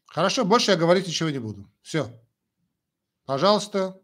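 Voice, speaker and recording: An older man with a slightly higher-pitched voice, talking as a doctor on a live stream. He speaks bluntly in short, emphatic phrases. His talk is loose and full of fillers. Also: big pitch swings, brisk, a bit bright. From Armenia. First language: Armenian. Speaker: doctor answering viewer questions. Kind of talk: live-stream Q&A, frank and chatty.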